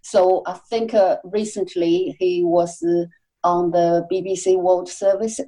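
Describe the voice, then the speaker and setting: A woman's voice: on the low side, level tone, a little slow, mellow, low-quality recording. A woman being interviewed as a literary guest, speaking hesitantly with many fillers. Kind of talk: casual interview chat.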